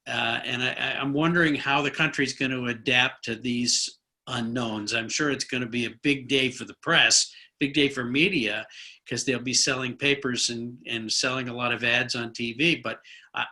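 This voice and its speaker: A man's voice: medium pitch, fairly even intonation, normal speed, bright, forceful tone. A man hosting a conversational political interview, musing informally and in a rambling way. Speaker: interviewer, host.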